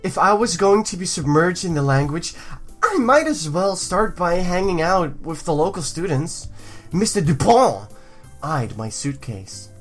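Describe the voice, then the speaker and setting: A woman's voice: fairly low pitch, big pitch swings, a little slow, soft background music. A woman hosting a let's play, reading a visual novel aloud with playful commentary.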